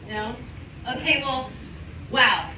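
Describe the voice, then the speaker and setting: A woman's voice: on the high side, lively intonation, takes it slowly. Grateful woman speaking to a crowd. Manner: warm, grateful, laughing often. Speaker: English teacher and YouTube host giving a thank-you speech. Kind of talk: live speech to a party crowd. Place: large event space. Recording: roomy, far from the mic, noisy.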